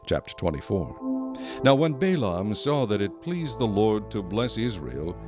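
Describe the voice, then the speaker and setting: A man reading scripted scripture narration in a measured, solemn storytelling manner. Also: fairly low pitch, big pitch swings, music in the background.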